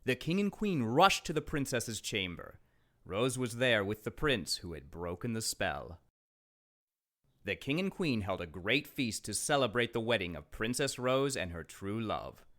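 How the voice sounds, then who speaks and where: A man narrating a children's fairytale as a storybook reader, in a dramatic, expressive way. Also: fairly low pitch, measured pace.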